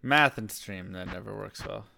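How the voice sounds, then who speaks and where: A man with a deep voice casually talking to chat and muttering to himself while playing a game on a live stream. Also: normal speed, creaky, pushed and bright, slight background noise. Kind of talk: casual gameplay commentary.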